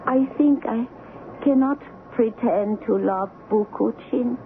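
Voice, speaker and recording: Earnest young sweetheart, higher voice, simple phrasing, vintage radio drama, very narrow tinny sound. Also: female voice, slow. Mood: tender, joyful. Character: devoted young Haitian woman in love with a sea captain. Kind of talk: 1970s radio drama acting. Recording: tinny, noisy old radio broadcast.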